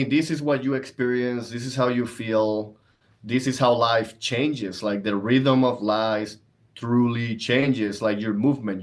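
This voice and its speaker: A man at a medium pitch. He talks conversationally as an interview guest, mixing explanation with personal storytelling. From Venezuela. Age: 30s. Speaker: podcast guest, entrepreneur and coach.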